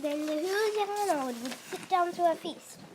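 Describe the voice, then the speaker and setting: A child narrating a reader's theatre script, reading aloud with emphasis and some halting. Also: very high-pitched, background noise. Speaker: narrator in a class play.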